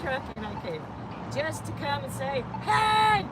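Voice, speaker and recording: A woman's voice: high-pitched, big pitch swings, measured pace, a crowd in the background, poor audio. A woman in casual, slangy, emphatic conversation, speaking outdoors on a city street. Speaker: supporter visiting a street activist. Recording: outdoors, loud traffic and vehicle noise.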